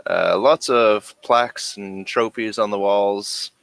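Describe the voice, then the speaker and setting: A man improvising narration as game master of a tabletop game, halting as he searches for words. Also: lowish voice, gravelly, fairly bright tone, no echo.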